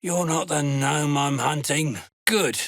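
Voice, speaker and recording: Gravelly, menacing dark dwarf slave hunter. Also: male voice, a little high, slow pace, no echo, clean studio sound, heavily acted. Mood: gruff, curt. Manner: curt, threatening, contemptuous. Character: gruff, menacing duergar slave hunter, fanatical cultist. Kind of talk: video game character voice lines.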